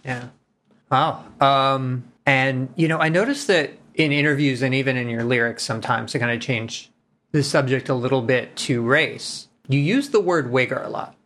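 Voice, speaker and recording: A man interviewing a guest, asking follow-up questions in a measured, plain way. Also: mid-range voice, fairly bright tone.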